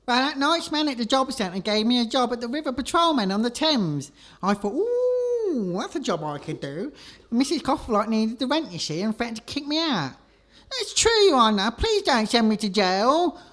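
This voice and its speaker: A man's voice: very high voice, sing-song, normal speed, a bit bright, heavily acted. A man playing a naive, hapless defendant in a comedic radio sketch, whiny and earnest. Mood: pleading. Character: hapless, naive jobless young man on trial.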